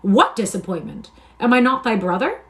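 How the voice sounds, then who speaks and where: A woman's voice: mid-range voice, animated, normal speed, natural voice. Woman reading as a jubilant young soldier. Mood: indignant. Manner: impassioned, archaic speech. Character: eager young male soldier returning home.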